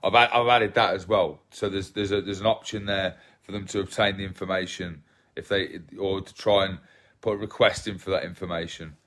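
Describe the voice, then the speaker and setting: A man in his thirties from England, hosting a casual livestream from his phone at home, soft-spoken and rambling, often repeating himself as he reads comments. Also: low voice, fairly quick. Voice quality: relaxed voice. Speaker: livestream host. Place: small living room at home, indoors. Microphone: phone held at arm's length.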